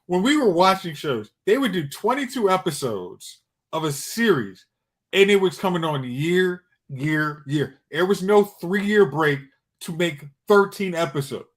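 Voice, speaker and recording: Man, fairly high voice, casual pop culture chat, podcast co-host. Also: animated, a little slow.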